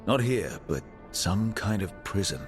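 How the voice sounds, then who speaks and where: An actor playing an earnest, steady mage who leads a team in a fantasy video game, speaking in a measured, serious way. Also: male voice, lowish voice, soft background music. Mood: grave. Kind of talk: cinematic video game dialogue.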